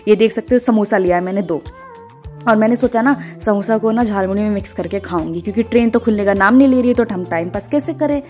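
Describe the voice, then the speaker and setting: A woman's voice: medium pitch, fast, a little mellow. Chatty young woman vlogging over music. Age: 20s. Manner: chatty, informal, addressing viewers. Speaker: travel vlogger. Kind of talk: casual vlog narration. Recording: music under the voice. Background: background music.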